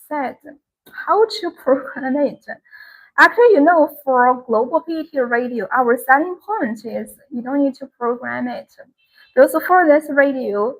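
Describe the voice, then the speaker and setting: A woman with a slightly high voice hosts an informal live product demonstration. She explains features step by step in halting, non-native English. She often circles back to repeat her key selling points. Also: fairly bright tone, low-quality recording. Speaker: live-stream sales host.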